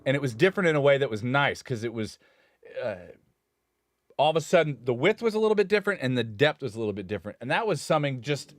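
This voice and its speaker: A man's voice: mid-range voice, expressive, fast talker, dry recording. A man casually chatting about studio gear on a live stream, rambling and self-correcting as he talks. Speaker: studio engineer co-host.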